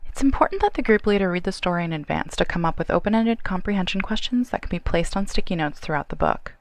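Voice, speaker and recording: A woman reading scripted instructional narration in a clear, explanatory way. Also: lowish voice, animated, quick pace. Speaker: narrator of a training video.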